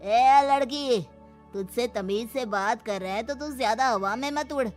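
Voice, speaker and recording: A woman's voice: a little high, unhurried, a little mellow, soft background music, lightly acted. A woman voicing a stern, scolding traditional village elder in a cartoon story, giving orders. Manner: scolding, commanding. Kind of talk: animated moral-story acting.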